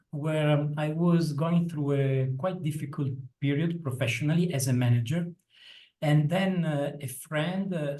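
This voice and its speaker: A man's voice: fairly high pitch, darkish tone, rough recording. A middle-aged man with an Italian accent, answering interview questions with many fillers and restarts. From Italy. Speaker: interview guest, internal coach. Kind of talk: conversational interview.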